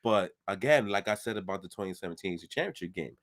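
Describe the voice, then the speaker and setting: A man in his late twenties in casual sports chat, animated and emphatic. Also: low voice, quick pace. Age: 20s. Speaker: podcast co-host.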